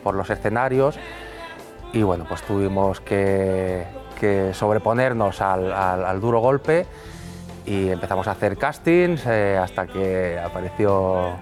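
A man's voice: deep voice, measured pace, darkish tone, faint music behind. A man in a band answering interview questions in a hesitant way, full of fillers and restarts. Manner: hesitant, many fillers and repeated words. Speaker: band member.

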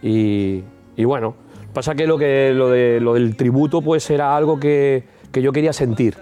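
A man's voice: mid-range voice, moderate pace, mellow, smooth, faint music behind. A man from Spain, a singer, talking through his story in a reflective, rambling way with frequent restarts. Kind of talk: interview answers.

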